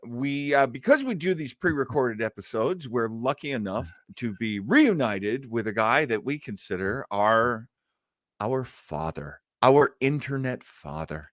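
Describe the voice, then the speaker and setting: An enthusiastic man hosts a radio show in a casual, chatty way. His pitch moves around a lot, with showman-like flourishes, and the sound is close and dry. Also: lively intonation, unhurried, a bit bright, dry recording. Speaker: host of an old-time radio show. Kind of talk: casual chat and show intros.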